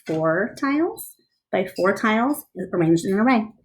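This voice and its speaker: A woman reading a storybook aloud expressively, like a teacher, pausing to explain things to listeners. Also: medium pitch, animated, a little hiss or hum.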